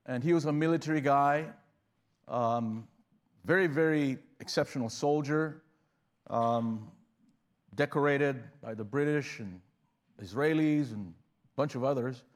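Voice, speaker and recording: A man's voice: mid-range voice, unhurried, long pauses. A man preaching a sermon in a conversational style, often restarting phrases and rising in bursts of emphasis. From Uganda. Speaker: guest preacher.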